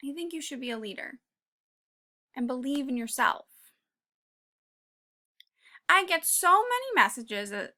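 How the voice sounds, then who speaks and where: A woman reading a children's picture book aloud, pausing to talk to young listeners in an encouraging way and sometimes dropping to a whisper. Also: high-pitched, creaky. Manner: drops to a whisper at quiet moments. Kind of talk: read-aloud with commentary for kids.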